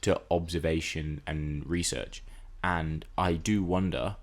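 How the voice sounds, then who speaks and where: A man with a low-pitched voice co-hosts a podcast. He speaks casually, weighing things up in a reflective, analytical way as he discusses screen entertainment. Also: fairly even intonation, slow pace. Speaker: podcast co-host.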